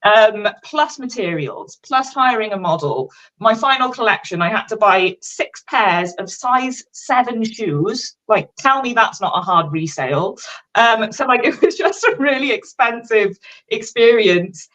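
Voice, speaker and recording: A woman's voice: on the high side, lively intonation, a little slow, fairly bright tone, rough recording. Chatty Welsh woman in her thirties. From Wales. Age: 30s. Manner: chatty, candid, self-mocking, swears casually. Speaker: guest, fashion designer. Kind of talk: casual livestream interview chat.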